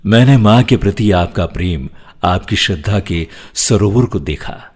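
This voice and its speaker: A man as husky, poetic festival spirit. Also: low voice, expressive, unhurried, heavily acted. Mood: devoted, tender. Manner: poetic, emotive, declamatory. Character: festival spirit bidding devotees an emotional, poetic farewell.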